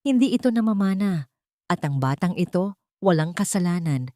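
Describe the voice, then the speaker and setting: Woman voicing a calm, low-voiced loyal man. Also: moderate pace, no echo, clean studio sound, natural voice. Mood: calm reassurance. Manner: calm, gentle. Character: calm, loyal male friend, gently reassuring.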